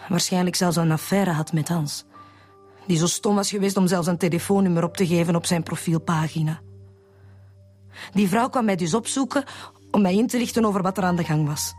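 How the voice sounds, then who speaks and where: A lovesick Flemish woman in a radio play, confessing in a husky, fairly low voice. She is hesitant and repeats words as she goes, with faint music behind her. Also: a little fast, a little mellow. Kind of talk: radio drama acting.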